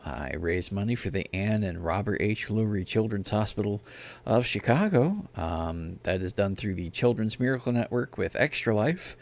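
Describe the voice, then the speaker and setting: A man's voice: on the low side, vocal fry. A man casually chatting as a live game streamer, in an easygoing, informal way. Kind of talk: casual livestream chat. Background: music.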